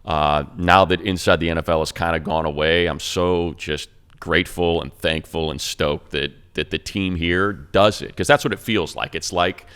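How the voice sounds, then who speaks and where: A man's voice: low voice, fairly even intonation, normal speed, hardly any pauses, fairly bright tone, clear, resonant tone. A man who is a radio play-by-play announcer, talking football in an enthusiastic, stat-heavy way on a casual sports podcast.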